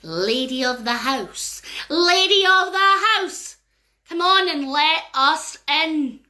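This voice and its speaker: Storybook fairies, sly and vengeful, slow menacing drawl, high lively voice, put on by a woman storyteller. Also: heavily acted. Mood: coaxing. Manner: slow, drawn-out threats.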